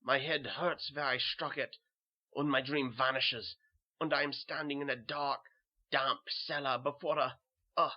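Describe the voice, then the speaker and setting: Through a dull, lo-fi recording comes a dazed German soldier turned butcher's helper , acted by a man. He has a middling pitch and a put-on German accent. He tells his horror story in a halting, haunted, brooding way, repeating his words. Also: fairly even intonation, lightly acted. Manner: halting, repetitive, brooding first-person delivery. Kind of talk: dramatic horror story reading.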